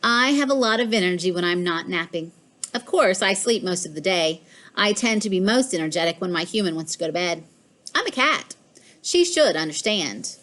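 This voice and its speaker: A woman's voice: lowish voice, animated, moderate pace, a bit bright. A woman voicing a smug, mischievous pet cat in a storybook, with a boastful, emphatic delivery. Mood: smug, playful. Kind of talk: storybook read-aloud.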